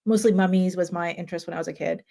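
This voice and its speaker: A woman from Japan hosting a relaxed conversational chat, talking loosely with frequent ums. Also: on the low side, rapid-fire, gravelly. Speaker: host.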